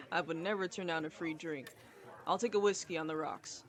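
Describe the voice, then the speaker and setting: Courteous, guarded gentleman in a tavern voice-acted. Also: sits high, expressive, measured pace, some chatter behind. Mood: pleased, relaxed. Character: male company boss hiding in a tavern, courteous, guarded. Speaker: voice actor in an audio roleplay. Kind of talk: fantasy audio drama acting.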